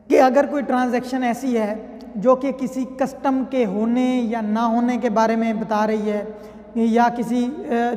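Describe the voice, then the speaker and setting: Male lecturer, Pakistani accent, exceptionally high voice, conversational explanatory delivery with English legal terms, heavy hall reverb. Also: darkish tone. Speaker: law lecturer. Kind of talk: explanatory lecture with worked examples.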